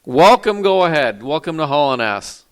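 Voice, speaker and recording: A man hosting a call-in show, brisk and dry, dismissive of prank callers. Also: a little high, sing-song, fairly bright tone, clear, resonant tone.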